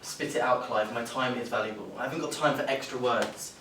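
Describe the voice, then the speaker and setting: A man acting a brusque, sardonic radio boss in a stage play, clipped and dismissive. His middle-pitched voice carries from a distance through an echoey room. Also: a little fast, fairly bright tone, natural voice. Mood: impatient. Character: brusque, impatient, mocking male radio studio boss. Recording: echoey stage, distant mic, faint noise.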